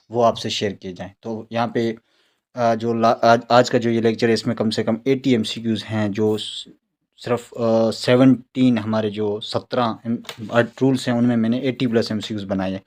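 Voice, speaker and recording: A man with a Pakistani accent and a mid-range voice teaches in an informal lecture style. He explains step by step and repeats key terms so they stick. When he reads English phrases aloud, he becomes halting and deliberate. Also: mid-range voice, darkish tone. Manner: instructional, repeats key words for memorising. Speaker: exam-prep teacher. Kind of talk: informal recorded lecture, reading out questions and explaining.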